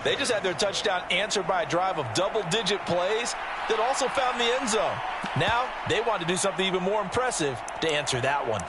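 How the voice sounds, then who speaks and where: A man's voice: high voice, animated, fairly quick, very noisy. A man voicing a conversational, analytical football color commentator with an American accent in a video game. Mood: analytical, engaged. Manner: conversational, explanatory. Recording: loud music behind.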